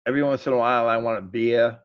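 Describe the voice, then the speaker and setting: A man's voice: mid-range voice, fairly even intonation, racing, clear, resonant tone, lo-fi sound. A middle-aged man with a New England accent, chatting casually as a podcast host on a group voice call, often repeating words as he goes.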